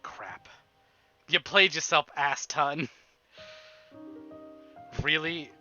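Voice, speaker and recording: Very bright, forceful man narrating over music. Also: fairly high pitch, very slow, highs cut off. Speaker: livestreamer reading a visual novel aloud. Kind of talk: expressive narration with exasperated asides. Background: game music.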